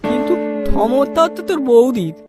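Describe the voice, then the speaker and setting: High-pitched, stuttering cartoon husband. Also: male voice, big pitch swings, fairly quick, music in the background. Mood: troubled. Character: stuttering, gullible married village man, easily excited. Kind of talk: comic cartoon dub.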